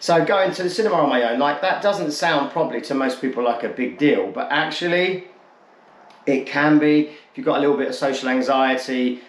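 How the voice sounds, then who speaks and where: A man's voice: fairly high pitch, fairly quick. A man, talking casually and candidly to camera as a vlogger, rambling a little and rephrasing himself as he goes. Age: late 40s. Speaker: vlogger introducing his channel. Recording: echoey untreated room.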